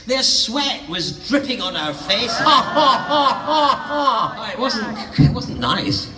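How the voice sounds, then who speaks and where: An older man from the Scottish Highlands with a Scottish accent, telling playful, theatrical stories in answer to audience questions at a theatre show. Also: pushed and bright. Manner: playful, theatrical, hopping between high and low tones, laughing often. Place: large theatre hall. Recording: stage mic in a reverberant hall, heard from a distance.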